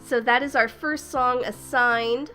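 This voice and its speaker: A woman's voice: a little high, steady tone, unhurried, sharp tone, soft background music. A woman hosting a casual livestream, slightly stuffy from a cold, chatty and upbeat, greeting viewers by name and laughing often. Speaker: livestream host.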